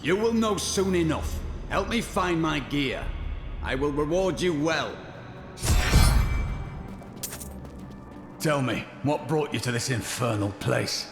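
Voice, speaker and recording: Solemn, zealous holy warrior, echoey. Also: male voice, on the high side, expressive, unhurried, noisy background. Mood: resolute. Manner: solemn, declamatory, formal. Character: zealous, stalwart Templar warrior, formal and resolute. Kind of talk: dramatic video game acting. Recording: echoey, loud game music behind.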